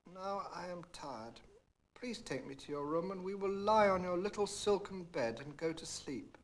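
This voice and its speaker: A man voicing a polite but insistent little frog in a children's storybook, coaxing in a drawn-out, pleading way. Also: high-pitched, voice pushed up. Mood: weary, coaxing.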